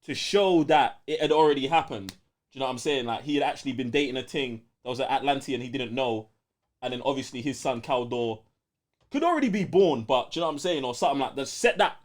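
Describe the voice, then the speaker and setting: A man's voice: medium pitch, fast talker. A man with a London accent co-hosting a casual live podcast, bantering in slang and reading out viewer messages. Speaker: podcast co-host reading out super chats.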